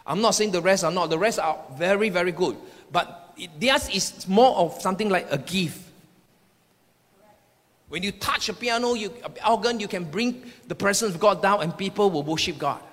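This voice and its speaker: A man's voice: sits high, normal speed, a bit bright. A man preaching a sermon, declaring his points emphatically and repeating phrases, recorded in an echoing hall. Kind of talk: sermon with personal prophetic words to the congregation. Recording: echoing hall with long reverb.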